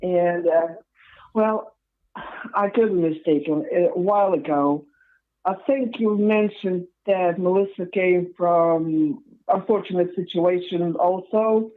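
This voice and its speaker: A woman's voice: fairly low pitch, takes it slowly. A woman calling in to a podcast, polite and a little hesitant. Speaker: caller. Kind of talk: phone call-in. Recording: tinny phone line.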